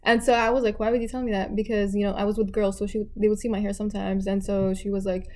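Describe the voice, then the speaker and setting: Young woman guest from Abu Dhabi, mellow tone, animated storyteller who does voices for others. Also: mid-range voice, fast talker. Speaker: guest, tennis player. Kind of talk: casual podcast chat.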